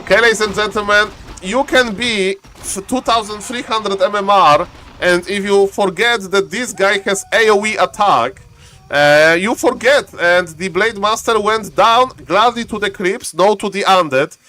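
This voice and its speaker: A man from Poland with a Polish accent, casting a live strategy game in chatty, excitable English and answering his chat. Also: high-pitched, a little slow. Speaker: game caster.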